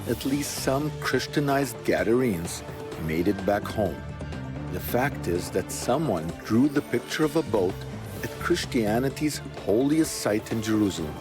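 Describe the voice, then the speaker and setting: A man narrating a documentary in a measured, earnest, slightly dramatic scripted delivery. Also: medium pitch. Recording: voice-over with music bed.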